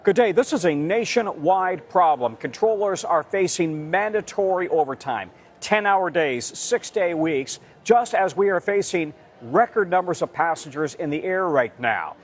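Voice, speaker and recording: A man's voice: high voice, lively intonation, unhurried. A man with an American accent delivering a scripted television news report as a correspondent.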